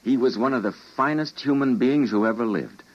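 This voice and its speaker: An actor playing an earnest, principled doctor with a dramatic delivery in an old-time radio drama. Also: male voice, medium pitch, a little fast, lightly acted. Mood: shocked, indignant. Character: earnest, principled male doctor, troubled and indignant. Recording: muffled vintage radio drama recording.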